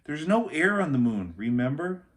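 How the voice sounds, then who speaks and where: A man's voice: fairly high pitch, sing-song, normal speed, pitched above the performer's own voice. A man voicing a cautious, bookish young boy explorer in a children's storybook, given to careful warnings.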